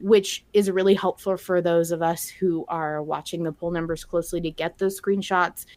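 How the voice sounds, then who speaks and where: A woman's voice: fairly low pitch, steady tone, moderate pace, darkish tone. A woman hosting a podcast in a casual, conversational style. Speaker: podcast host.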